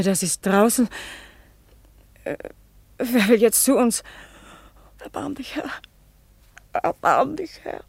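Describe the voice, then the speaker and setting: An actress playing an anxious, remorseful and pious farmer's wife with a halting murmur in a German radio play. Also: female voice, a little high, gravelly, breathy edge, heavily acted. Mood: frightened, praying.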